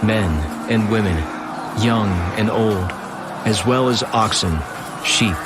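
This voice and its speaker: A man's voice: lowish voice, slow pace, loud music behind the voice. A man giving dramatic, solemn scripted scripture narration for an audio drama. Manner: solemn, portentous.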